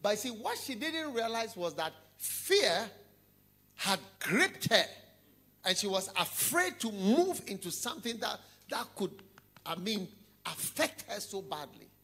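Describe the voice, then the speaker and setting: A middle-aged man preaches in a large hall through a handheld mic. His voice sits high and swoops around a lot, with a bright, slightly husky edge. He hammers points by repeating them. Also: big pitch swings, measured pace. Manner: emphatic, repeats phrases, swings between hushed and loud. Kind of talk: sermon. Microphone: handheld microphone.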